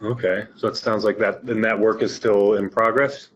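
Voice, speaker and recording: A man's voice: on the low side, fairly even intonation, normal speed, husky, highs cut off. A man moderating a webinar, asking questions in a hesitant way with many restarts and fillers. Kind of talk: casual interview questions.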